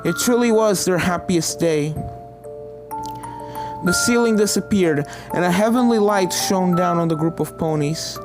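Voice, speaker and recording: A woman's voice: on the low side, measured pace, over music. A woman reading a fan fiction story aloud as narrator, in a scripted, dramatic storytelling style.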